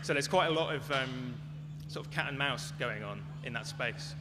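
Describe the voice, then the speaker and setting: A man's voice: medium pitch, normal speed. Man explaining informally in an echoey, humming hall. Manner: tentative, hedged explaining. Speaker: conference speaker answering audience questions. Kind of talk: informal Q&A talk. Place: large echoey venue at a live talk. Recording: echoey hall, clear background noise, hum.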